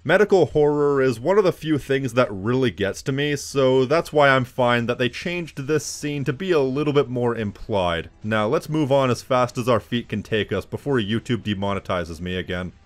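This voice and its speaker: A man's voice: medium pitch, moderate pace, few pauses. A man delivering scripted video commentary as an essayist, with snide, wry asides.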